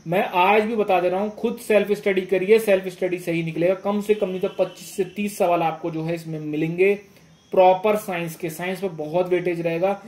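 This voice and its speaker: A man's voice: high-pitched, steady tone, moderate pace, darkish tone. A man giving informal exam guidance as a teacher, instructive, repeating phrases and often checking that listeners follow. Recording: echoey room.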